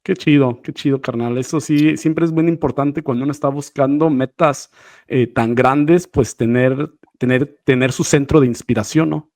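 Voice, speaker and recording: A man's voice: mid-range voice, fairly even intonation, normal speed, darkish tone, no room sound, clean studio sound. A Mexican man hosting a casual podcast interview, talking in a familiar, slangy, buddy-like way.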